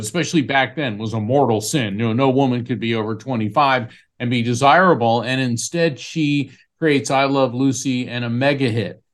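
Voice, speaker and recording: A man, a psychic medium, hosting a call-in show and speaking emphatically in a lively storytelling way. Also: mid-range voice. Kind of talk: call-in radio show chat.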